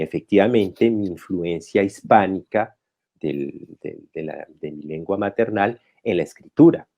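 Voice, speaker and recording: A man from Colombia speaking as a guest writer, talking reflectively and pausing to restart his thoughts, recorded over a video call. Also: low-pitched, mellow. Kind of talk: conversational talk.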